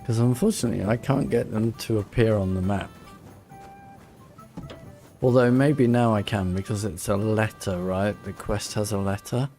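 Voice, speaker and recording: A man's voice: fairly low pitch, normal speed, warm tone, faint music behind. A man giving casual playthrough commentary on a video game, thinking aloud and muttering to himself as he plays. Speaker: streamer playing a video game.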